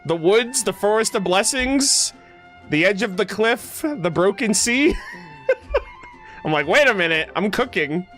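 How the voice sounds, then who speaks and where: A man streaming a game with a fairly high voice. He reacts casually in slang and talks to his chat. Game music plays softly behind him. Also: expressive, unhurried, rough recording. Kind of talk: casual live reaction commentary.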